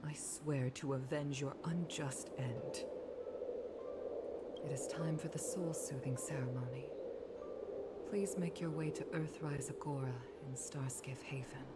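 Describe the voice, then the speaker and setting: A woman voicing a dignified, resolute female official in a video game, formal and measured in her grief. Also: low voice, very noisy. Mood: grave, resolved. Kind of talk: video game character acting.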